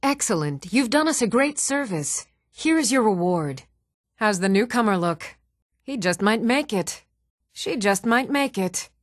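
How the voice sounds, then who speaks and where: An actress voicing an even-toned young Nordic townswoman and warrior in a fantasy video game, measured and clearly spoken. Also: mid-range voice, dry recording, clean studio sound. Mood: matter-of-fact, helpful. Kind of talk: scripted video game dialogue.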